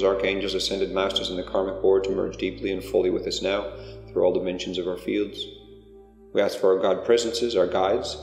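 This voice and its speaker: A man leading a guided meditation, giving unhurried, hushed instructions with pauses between phrases. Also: sits low, creaky. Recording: long echoing reverb, music underneath. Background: music.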